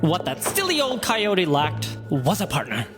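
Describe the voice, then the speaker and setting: Woman to play sly motion-comic villain, theatrical and taunting, lively swings in pitch, loud score underneath. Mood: mocking, playful. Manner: mocking, drawn-out storytelling, wordplay. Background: loud music.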